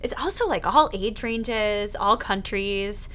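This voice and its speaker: A woman talking as a podcast guest, chatty and quick to giggle. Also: mid-range voice, fairly bright tone. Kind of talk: casual chat.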